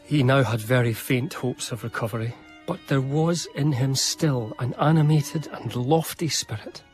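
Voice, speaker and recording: Radio drama narrator: devoted, melancholy period gentleman male actor, earnest and literary, mid-pitched, over faint music. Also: normal speed, natural voice. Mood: sombre. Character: devoted, melancholy eighteenth-century gentleman biographer, earnest and sentimental. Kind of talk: dramatised first-person narration.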